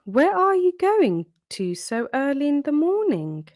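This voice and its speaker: A woman's voice: high-pitched, sing-song, unhurried, a little mellow, no echo, clean studio sound, voice pushed up. A woman voicing a cunning, wicked male wolf from a storybook, politely coaxing and sly. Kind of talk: storybook read-aloud character.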